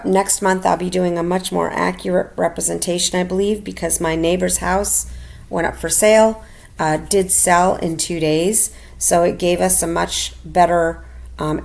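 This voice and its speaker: A middle-aged woman narrating a personal finance video as a voiceover, slightly raspy from illness, halting with many ums and restarts. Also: lowish voice. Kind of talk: casual voiceover narration over a screen recording. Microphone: home voiceover mic.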